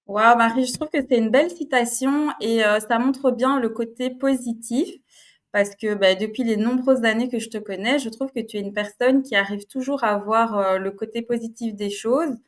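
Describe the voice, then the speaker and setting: A woman's voice: a little high, steady tone, normal speed. A woman hosting a podcast interview, asking questions in an encouraging, admiring way. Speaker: podcast host.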